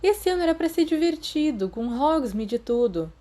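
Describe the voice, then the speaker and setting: A woman's voice: high voice, sing-song, moderate pace, dark tone, pitched above the performer's own voice. A Brazilian woman voicing a whiny, eager teenage schoolboy in a storybook reading, with a plaintive manner. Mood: whining.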